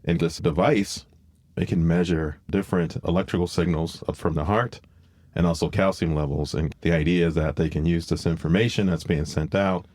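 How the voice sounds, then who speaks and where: Casual podcast host, male, low voice, dry close sound, loose explanatory delivery. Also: fairly even intonation, moderate pace, a little mellow, no room sound.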